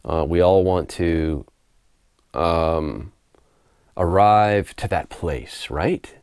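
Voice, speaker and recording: Hoarse deep-voiced man musing casually. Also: extremely slow, dry recording, clean studio sound. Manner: relaxed, rambling, repeats words while thinking, laughs softly. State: hoarse from a head cold. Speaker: spiritual author and video host. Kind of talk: casual unscripted monologue to camera. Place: home room.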